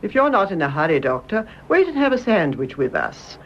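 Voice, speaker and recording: An actress playing a devoted older mother and gracious hostess in a British radio drama, speaking in a measured, genteel British way. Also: on the low side, big pitch swings. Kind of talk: British stage-play radio drama acting. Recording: dull audio, faint background noise.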